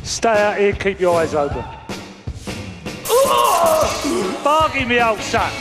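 A man's voice: high voice, sing-song, normal speed. A man playing a volatile, sadistic London gangster in a video game, taunting and bullying in a London accent. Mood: gruff swagger. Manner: taunting, bullying, foul-mouthed. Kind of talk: video game cutscene acting. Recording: music behind the voice.

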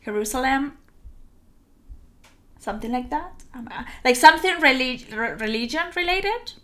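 A young woman from Spain with a Spanish accent, chatting casually to camera as a reaction host in an animated, halting, giggly way. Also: sits high. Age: young adult. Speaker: host of a music reaction channel. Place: small room at home, indoors.

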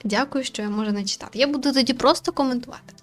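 A woman's voice: high voice, racing, faint music behind. A woman hosting a game video with casual, off-the-cuff commentary, musing aloud and asking questions as she goes. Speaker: gaming video host and commentator.

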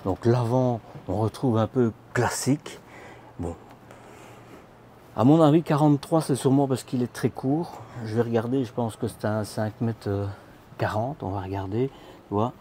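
A man's voice: medium pitch, a little slow, dark tone, a little hiss or hum. A man giving a chatty, informal walkthrough of camper vans at a trade show, filmed on a handheld phone. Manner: chatty, informal, addresses viewer as tu, many fillers. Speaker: camper van vlogger. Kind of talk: casual walkthrough commentary. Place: large indoor trade show hall, inside vans.

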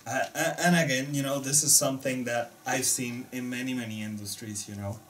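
A man in his thirties speaks casually as a co-host, agreeable and affirming. His pitch is average, and he is picked up from a distance with faint noise behind him. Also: fairly even intonation, brisk, fairly bright tone. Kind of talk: casual discussion. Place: small indoor home theater room.

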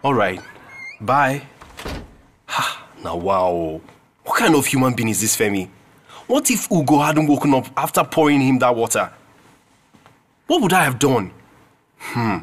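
A worried young Nigerian student in a radio drama. His slightly raspy voice swings up and down as he reproaches and frets like a loyal friend. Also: medium pitch, big pitch swings, a little slow, pauses often. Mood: evasive, reassuring, then troubled.

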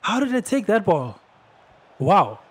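A young man who is not a native English speaker, rambling excitedly over his own gameplay with lots of fillers. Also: sits high, unhurried, husky. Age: young adult. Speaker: gaming youtuber. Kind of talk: casual gameplay commentary.

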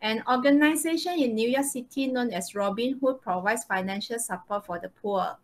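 A woman's voice: on the high side, moderate pace. A woman with a Malaysian accent teaching a live online English lesson, informal and often checking with short tag questions. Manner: informal, checks with "okay" and "right?". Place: online video call, presenting slides.

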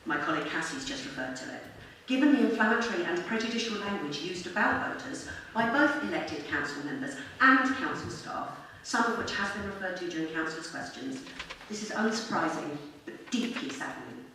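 A woman's voice: lowish voice, a bit bright. A middle-aged woman reads a prepared public address in an earnest, formal, articulate way. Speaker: member of the public addressing a council. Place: council meeting chamber. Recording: very echoey room, distant mic, faint noise.

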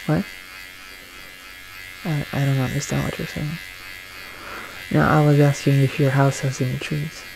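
A young man doing a soft-spoken, often whispered ASMR dentist roleplay, halting and gently reassuring, speaking close through a face mask. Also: medium pitch, flat delivery, vocal fry, background noise. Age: young adult. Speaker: ASMR performer playing a dentist. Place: small plain room indoors. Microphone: close to the mic, facing camera, through a face mask.

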